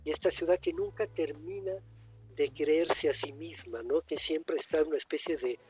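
An older man raised in Italy with Italian as his first language, a writer reflecting slowly in an interview, often pausing and restarting. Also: on the high side, a little mellow, slightly rough. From born in Egypt, raised in Italy. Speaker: writer guest. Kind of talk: thoughtful interview answers.

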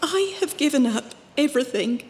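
A woman plays a wandering holy visionary in an audio drama. Her voice is high and full of pious, anxious fervour, ringing in a long reverb over faint noise. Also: lively intonation, moderate pace, darkish tone. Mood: fervent, troubled. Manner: earnest, emotional, pious. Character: fervent, fearful wandering female religious visionary.

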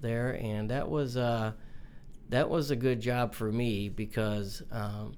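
A man in his forties with a young-sounding voice, answering interview questions in a halting way with many fillers and restarts. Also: mid-range voice, steady tone, a little mellow, slight background noise. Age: 40s. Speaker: mortgage company owner.

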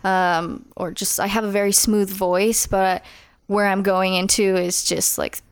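A woman, a musician and photographer, talking in a casual conversation, reflective and lively when she quotes her own thoughts. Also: mid-range voice, a little slow, clear, resonant tone, no echo, studio quality.

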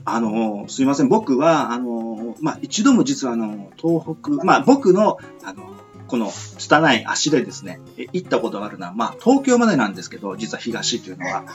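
A man from Kyushu hosting a casual radio chat, polite and wordy, circling haltingly toward his questions. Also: medium pitch, sing-song. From Kyushu, southern Japan. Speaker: radio host. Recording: muffled lo-fi audio with music behind. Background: background music.

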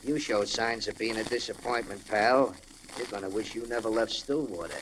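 A man's voice: lowish voice, moderate pace, fairly bright tone, natural voice. On a faintly noisy old radio recording, a male actor plays a tough ex-convict butler. He is blunt and menacing, needling people in wisecracking slang, in the manner of a 1940s radio crime drama. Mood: quietly menacing.

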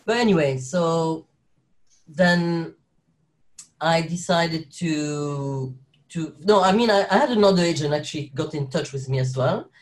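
A man's voice: a little high, unhurried. A young man with a French accent, a guest actor chatting in an interview, rambling with restarts and frequent laughs. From Belgium. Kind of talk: casual interview chat.

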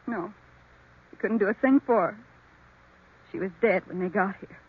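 A woman's voice: mid-range voice, moderate pace, husky. An actress playing a devoted, well-bred young wife, protective of her husband, in 1940s radio drama style. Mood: subdued. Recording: tinny, phone-like old radio recording.

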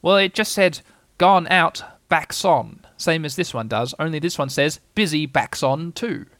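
A man's voice: on the high side, lively intonation, measured pace, a bit bright, dry recording. A man voicing a bossy, self-important storybook rabbit with a curt, officious manner. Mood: solemn, puzzled. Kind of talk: storybook character voice.

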